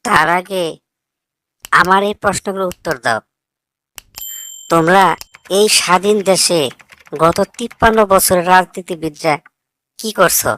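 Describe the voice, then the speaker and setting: Lowish-voiced, defiant young idealist played by a woman. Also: measured pace, pauses often, a bit bright. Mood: accusing. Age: young adult. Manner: argumentative, sarcastic, lecturing. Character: defiant, idealistic grown child of a politician, foreign-educated. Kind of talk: scripted cartoon dialogue.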